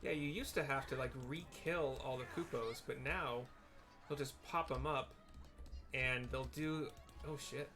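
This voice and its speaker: A man with a mid-pitched voice narrates his own gameplay live in a casual, informal style. He thinks aloud and feels his way through explanations, with music playing behind him. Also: measured pace, bright, forceful tone. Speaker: gamer narrating own playthrough.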